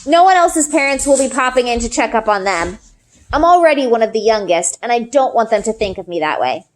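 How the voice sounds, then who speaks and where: A woman's voice: fairly high pitch, big pitch swings, brisk. A woman voicing an earnest, anxious young girl from a storybook, in a pleading, insistent manner.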